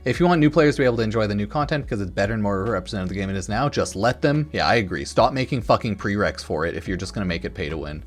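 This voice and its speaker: A man's voice: on the low side, animated, rapid-fire, hardly any pauses. A man giving casual, rambling opinion commentary as a gaming content creator, informal and sweary, sometimes reading comments aloud. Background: music in the background.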